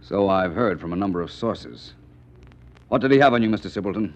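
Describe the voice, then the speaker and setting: A man's voice: low-pitched, expressive, fairly quick. An actor playing an unflappable, urbane male private detective with wry, formal wording, in 1940s radio mystery style. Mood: cool, probing. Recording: muffled vintage radio recording.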